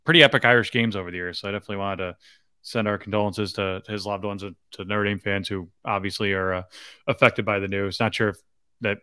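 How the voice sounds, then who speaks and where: A man's voice: on the low side, fairly even intonation, fast talker, pushed and bright, smooth, dry recording, clean studio sound. A man in his thirties co-hosting a sports podcast, speaking casually into a desk microphone indoors. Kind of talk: casual postgame sports chat.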